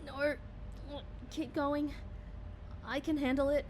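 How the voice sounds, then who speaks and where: A woman's voice: sits high, little pitch movement, slow, darkish tone, a little hiss or hum. A woman playing a wry, stubborn agent recovering from injury in an audio drama, with a dry, defiant manner. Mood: strained, determined.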